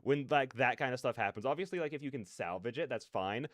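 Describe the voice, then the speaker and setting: A man's voice: mid-range voice, animated, fast talker, no room sound. A man hosting a live stream in casual, rambling, self-deprecating chat, on a close dry mic. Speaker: streamer and host. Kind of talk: casual live-stream chat with chat replies and read-aloud game narration.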